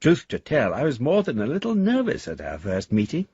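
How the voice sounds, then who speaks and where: An actor playing a courteous, shy, rambling English gentleman reading his letter in a radio drama, formal and gently whimsical. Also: mid-range voice, huge pitch swings, brisk, creaky, slightly rough, no echo, lo-fi sound, heavily acted. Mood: rambling, affectionate.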